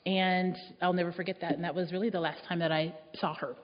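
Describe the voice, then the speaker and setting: A woman's voice: on the low side, fairly even intonation, fast. Woman telling a story in a hall. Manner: reflective, warm storytelling with soft laughs. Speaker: speaker giving a church talk. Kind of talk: personal story in a sermon. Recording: dull echoey hall sound.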